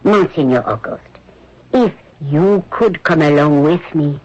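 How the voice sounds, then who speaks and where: A woman's voice: deep voice, animated, measured pace, darkish tone. An actress playing an earnest French Resistance woman who rescues children, in 1980s radio drama style. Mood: earnest, urgent. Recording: dull, very noisy old radio broadcast.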